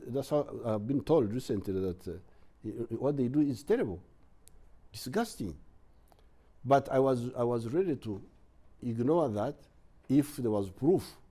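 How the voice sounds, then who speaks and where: A man giving blunt interview answers in a halting, emphatic way, repeating his words, with a husky voice. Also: medium pitch, warm tone.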